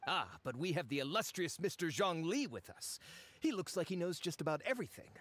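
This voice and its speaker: Game role: brash money-minded adventurer, raised register, animated, impatient and sarcastic. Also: male voice, fairly high pitch, fairly quick, dry recording. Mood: eager, scheming. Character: brash greedy male treasure seeker, easily annoyed. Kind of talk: English video game voice acting.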